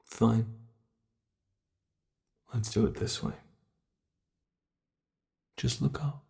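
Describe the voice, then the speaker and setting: A man's voice: medium pitch, creaky, dark tone, full character voice. A man with a British accent playing a mocking male monster who hunts a human, in an ASMR horror roleplay. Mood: coaxing. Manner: mocking, drawn-out coaxing, impatient. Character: teasing predatory male monster hunting a human.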